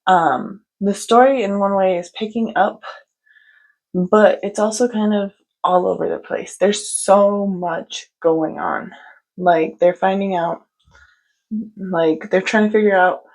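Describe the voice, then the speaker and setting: A woman vlogger talks about books she's reading, loose and rambling, full of fillers. Her voice has a gravelly, creaky edge and sits at an ordinary pitch. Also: fairly even intonation, slow, darkish tone, rough recording. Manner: chatty, rambling, many fillers. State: stuffy from allergies. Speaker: book vlogger. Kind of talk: casual book update chat.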